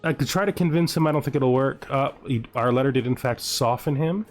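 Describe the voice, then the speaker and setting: A man's voice: mid-range voice, a little fast, faint music behind. Man thinking aloud over a strategy game. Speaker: gamer narrating his own playthrough. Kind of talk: casual let's-play commentary, thinking aloud.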